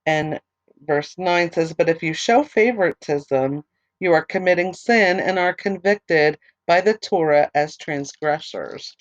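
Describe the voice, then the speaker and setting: Woman doing a bible study, kinda hoarse, reads verses then rambles with ums, dry dull-ish recording. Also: lowish voice, measured pace, no room sound. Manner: reads verses steadily, then talks loosely with many ums and restarts. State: losing her voice, a bit hoarse. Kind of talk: reading scripture aloud and explaining it. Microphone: online recorder, computer setup.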